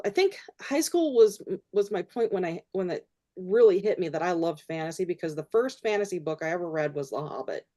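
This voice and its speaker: A woman guest in a casual interview, speaking haltingly with frequent restarts and fillers. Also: lowish voice, mellow.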